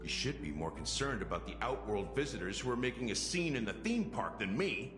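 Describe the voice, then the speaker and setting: A man's voice: lowish voice, very animated, brisk. An actor playing a roguish, defiant man accused of murder, theatrical and mocking, in a dramatic video game scene. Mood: indignant, scornful. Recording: very echoey hall, music underneath. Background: music.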